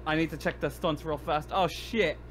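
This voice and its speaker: A man playing a tabletop game, chatting casually in an animated, sweary way. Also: fairly high pitch, normal speed, slight background noise. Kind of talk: casual game chat over online session.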